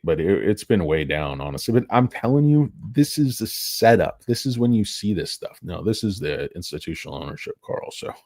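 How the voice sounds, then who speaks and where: A man's voice: low voice, darkish tone, no room sound, studio quality. A man hosting a casual stock commentary, rambling, crude and sarcastic as he talks through charts and filings. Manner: rambling, crude and sarcastic, with mocking put-on voices. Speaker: stock market video host.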